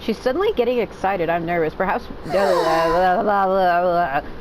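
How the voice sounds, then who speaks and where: A woman's voice: fairly low pitch, normal speed, background noise. A woman giving casual gameplay commentary as a streamer, blunt and sweary with sarcastic asides.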